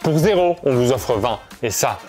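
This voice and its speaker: A man's voice: medium pitch, expressive, moderate pace, over music. A man hosting a guessing game in a casual, joking way. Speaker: host of a guessing game show.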